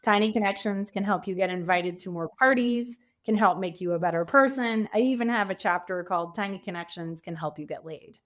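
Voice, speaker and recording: Woman, podcast guest, coach, medium pitch, conversational, animated storyteller. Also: fairly quick, hardly any pauses. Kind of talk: conversational interview.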